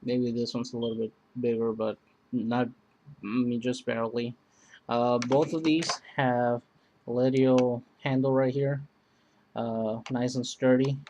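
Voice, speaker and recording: A man casually demonstrating and comparing two emergency radios, rambling with many pauses and fillers. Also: medium pitch, little pitch movement, mellow, highs cut off, slight background noise. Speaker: product reviewer. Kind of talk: casual product demo.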